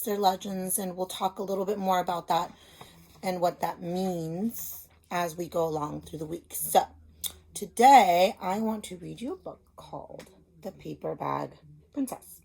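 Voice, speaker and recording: A woman's voice: mid-range voice, mellow. A woman speaking as a reading teacher, explaining simply and reading a story aloud to children, stressing key words.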